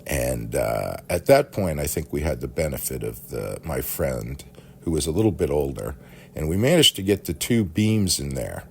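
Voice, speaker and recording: A man telling a rambling personal story in a halting, folksy, animated way, with a gravelly, husky voice. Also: very deep voice, a little hiss or hum.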